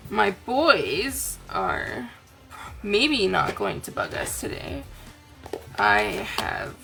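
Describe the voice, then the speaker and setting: A tired woman with a raspy voice, chatting casually as a crafting host, rambling gently. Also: mid-range voice, wildly swooping pitch, extremely slow, music in the background. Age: adult, aunt. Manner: rambling, chatty, soft-spoken. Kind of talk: casual chat to camera.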